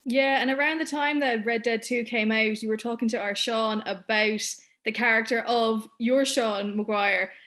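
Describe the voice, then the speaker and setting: A woman's voice: a little high, fairly even intonation, normal speed, hardly any pauses, fairly bright tone. A woman, interviewing a guest over a video call from home and laughing easily. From Ireland. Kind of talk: casual interview. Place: home room, indoors.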